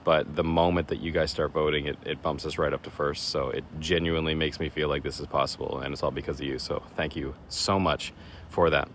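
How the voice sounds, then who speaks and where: A man vlogging casually to camera outdoors, chatty and self-deprecating, with many fillers and a slightly raspy voice. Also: extremely low pitch, little pitch movement, a little fast, a bit bright. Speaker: horror contest vlogger. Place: outdoors in woods. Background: faint leaf blower and vehicle noise.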